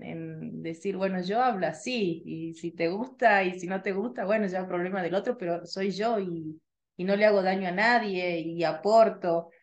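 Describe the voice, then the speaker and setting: Chatty Tucumán-accented woman co-host. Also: medium pitch. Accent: Tucumán Argentine Spanish, slightly softened. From Tucumán, Argentina. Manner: chatty, lively, trained articulation. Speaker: radio podcast co-host. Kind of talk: casual radio chat.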